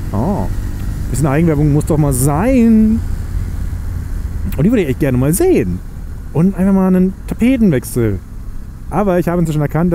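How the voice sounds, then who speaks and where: A young man, chatting casually and rambling as he rides his motorcycle through the city. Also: on the high side. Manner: rambling, chatty and self-mocking. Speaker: motovlogger. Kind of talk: casual chat while riding. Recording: faint engine and road noise.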